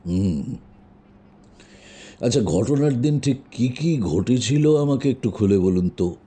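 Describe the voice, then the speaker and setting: An actor playing a gruff, commanding middle-aged detective in an audio drama, speaking deliberately with pauses and emphatic repeats. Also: male voice, mid-range voice, expressive, mellow. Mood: probing, cautioning.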